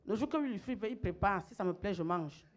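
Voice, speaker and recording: A woman's voice: medium pitch, animated, a little fast. A middle-aged woman pastor, preaching emphatically, repeating phrases and calling on her listeners to respond. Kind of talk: sermon.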